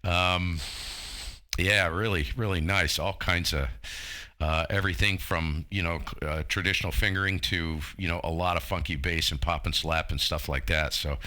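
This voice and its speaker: Bright, deep-voiced man in his fifties. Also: fairly even intonation, normal speed. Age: 50s. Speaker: amateur radio operator. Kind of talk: casual radio chat.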